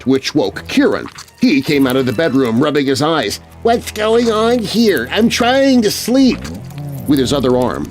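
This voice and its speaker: A man narrating a scary children's story in an expressive, suspenseful, scripted style. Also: medium pitch. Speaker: narrator, voice artist.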